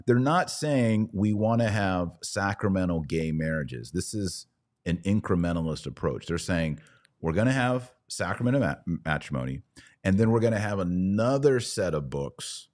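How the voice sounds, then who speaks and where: A man's voice: sits low, animated, measured pace, darkish tone. A man giving conversational commentary as a podcast host.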